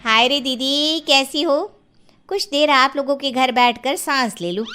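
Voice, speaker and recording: Woman playing a high, dramatic gossipy village auntie. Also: measured pace, sharp tone. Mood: grumbling, gossipy. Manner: dramatic, rustic, exclamatory grumbling. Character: gossipy, complaining older neighbour auntie in Hindi storybook tale.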